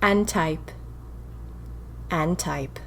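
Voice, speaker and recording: A woman's voice: low-pitched, vocal fry, a little hiss or hum, pitched below the performer's own voice, exaggerated voice. Wry, whimsical young typist played by a woman. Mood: wistful, wry. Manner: wry, expressive, dramatic pauses. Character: whimsical, bored young 1920s typist confiding in her diary. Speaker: narrator of a fiction podcast. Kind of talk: first-person diary audio fiction narration.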